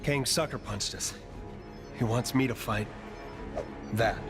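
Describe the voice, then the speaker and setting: An actor playing an upright, stalwart team captain in a superhero video game, firm and earnest. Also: male voice, mid-range voice, slow pace, music in the background, close to an everyday voice. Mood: determined.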